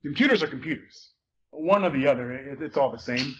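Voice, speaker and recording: A man's voice: mid-range voice, expressive, a little fast, fairly bright tone, lightly acted. A man reading as a self-doubting teenage schoolboy narrator, hesitant and conversational. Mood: unsure, wry. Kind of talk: dramatic reading of a first-person novel. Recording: muffled room recording with faint noise.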